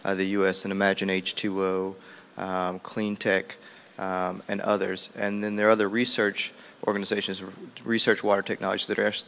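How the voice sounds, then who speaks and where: A man with a fairly low voice reports back as a roundtable participant. He runs through discussion points in an informal summary. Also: vocal fry, fairly bright tone.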